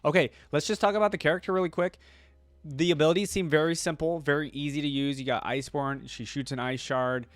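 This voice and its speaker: A man giving casual reaction commentary as a video host, informal and rambling as he thinks out loud. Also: on the high side, steady tone, quick pace, no echo.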